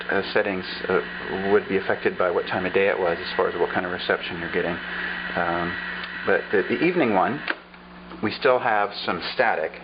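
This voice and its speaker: Low-voiced man demonstrating an old radio. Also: highs cut off, background noise. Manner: unhurried, thinking aloud with fillers. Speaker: vlogger showing a vintage radio. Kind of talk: casual show-and-tell demonstration.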